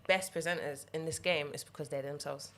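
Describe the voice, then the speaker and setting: A young woman with a deep voice, a radio presenter chatting casually as a podcast guest, animated and quick to laugh. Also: slight background noise. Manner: animated, laughs often, drops into whispers. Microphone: headset.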